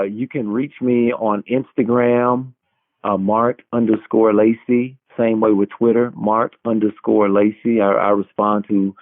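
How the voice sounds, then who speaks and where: A man answering as an interviewed author, conversational, with a halting, deliberate delivery. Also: on the low side, no echo. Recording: tinny narrow phone line.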